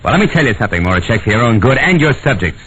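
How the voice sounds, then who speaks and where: A man's voice: mid-range voice, sing-song, very fast, fairly bright tone, breathy edge. A man with an American accent playing a tough, world-weary private detective in a 1940s radio drama, brusque and wisecracking, both in scenes and narrating. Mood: warning. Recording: dull old radio recording with music.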